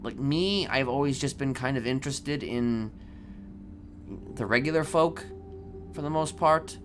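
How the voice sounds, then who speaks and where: A man playing a calmly boastful, evasive serial killer in improvised crime roleplay, rambling and hesitant as he confides. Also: medium pitch, over music, lightly acted. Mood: evasive, musing. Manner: halting, rambling, hesitant delivery.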